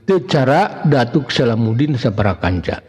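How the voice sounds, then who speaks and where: A man with a Sundanese accent voicing a resolute wandering hero in a folk tale, firm and measured. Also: medium pitch, big pitch swings, darkish tone, husky. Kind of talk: folk tale character dialogue. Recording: long reverb, dull muffled sound.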